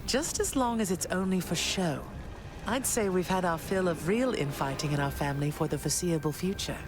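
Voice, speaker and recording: Brief: actress as a gentle, dignified queen and mother, game music behind. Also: on the low side, fairly quick, natural voice. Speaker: game character. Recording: game audio with clear music.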